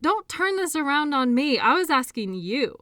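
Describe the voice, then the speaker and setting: A woman acting an earnest, defensive and lonely sports doctor in an intimate audio drama, speaking in an emotional, halting way. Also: sits high, very animated, fairly bright tone, dry recording, clean studio sound. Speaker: audio roleplay performer.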